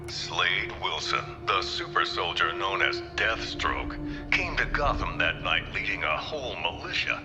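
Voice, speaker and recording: A man's voice: deep voice, expressive, measured pace, big-room sound, over music. A dramatic TV newsman. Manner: dramatic, declaiming. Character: male TV newsman, dramatic presenter. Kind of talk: broadcast-style narration.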